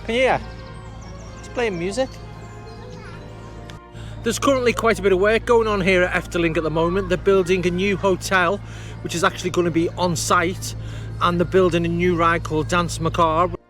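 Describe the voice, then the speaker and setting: A man with a fairly high voice gives casual, rambling vlog commentary as he walks around outdoors. He muses aloud, and music plays clearly behind him. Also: steady tone, moderate pace, fairly bright tone. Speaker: vlogger presenting a theme park walk. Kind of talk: casual walk-and-talk commentary. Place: outdoors in a theme park.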